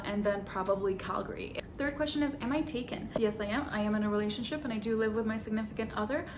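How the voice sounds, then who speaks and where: A woman's voice: on the high side, background noise. Chatty young woman from Bulgaria. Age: 20s. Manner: chatty, laughs easily, self-conscious asides. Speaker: vlogger. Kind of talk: casual Q and A vlog.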